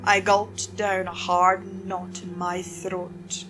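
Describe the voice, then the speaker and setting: A woman's voice: lowish voice, level tone, over music. A woman hosting and narrating scary stories in a theatrical, scripted, spooky style. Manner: slow, dramatic, spooky. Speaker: horror story narrator and channel host.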